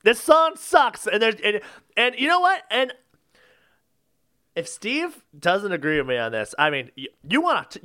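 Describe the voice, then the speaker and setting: A man's voice: sits high, bright, forceful tone. A man co-hosting a podcast, ranting casually and comedically, exasperated and repeating himself for emphasis. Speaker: podcast co-host.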